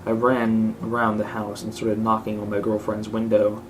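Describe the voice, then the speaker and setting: A man's voice: on the low side, monotone, moderate pace. A man reading a scripted horror story aloud as narrator. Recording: clearly noisy home recording.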